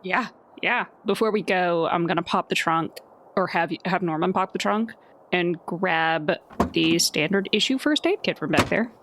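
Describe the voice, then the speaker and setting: A woman's voice: lowish voice, moderate pace, a bit bright, a little hiss or hum. A woman talking casually as a player in a tabletop role-playing game. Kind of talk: casual chat.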